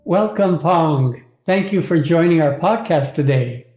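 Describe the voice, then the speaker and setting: A man's voice: on the high side, animated, measured pace, a little mellow, dull audio, faint music behind. Man hosting from an echoey room. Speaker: podcast host. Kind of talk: interview conversation.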